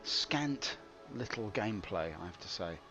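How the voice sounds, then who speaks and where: Grumbling streamer, gravelly low voice. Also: male voice, expressive, unhurried, lo-fi sound. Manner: grumbling, wry and self-mocking. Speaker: game streamer. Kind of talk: live gameplay commentary. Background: game music.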